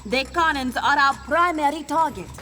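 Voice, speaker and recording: A woman's voice: high voice, expressive, normal speed, sharp tone, soft background music. A woman voicing a proud, fierce African warrior defending her homeland in a video game, formal and resolute. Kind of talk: video game cutscene acting.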